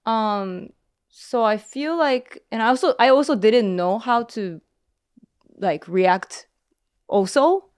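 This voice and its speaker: Woman chatting on a talk show, super smooth voice, soft, lots of ums, dry mic. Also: on the high side, animated, slow pace, no room sound. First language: English. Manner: hesitant, many ums and restarts, soft-spoken. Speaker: guest. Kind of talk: casual interview chat.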